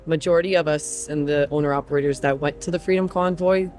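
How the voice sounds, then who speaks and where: A man from Croatia who drives trucks, answering interview questions earnestly with frequent pauses. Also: on the high side, steady tone, a little mellow, faint music behind.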